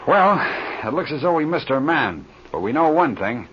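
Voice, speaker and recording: An actor playing a brisk, authoritative aviator boss in an old-time radio adventure serial. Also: male voice, fairly high pitch, sing-song. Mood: alarmed, commanding. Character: calm, brisk, commanding male aviator boss. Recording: dull, noisy old radio serial recording.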